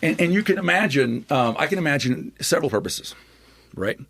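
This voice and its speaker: A man's voice: a little high, expressive, fairly quick, fairly bright tone, husky. A man on a podcast, explaining scripture eagerly and often checking in with "right?" as he builds his argument. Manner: enthusiastic, lecturing with many asides and "right?" tags. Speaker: podcast guest, scripture scholar.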